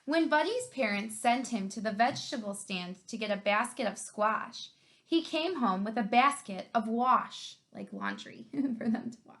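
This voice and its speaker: A woman's voice: on the high side, lively intonation, moderate pace, a bit bright. A woman co-hosting a children's read-aloud, narrating a picture book.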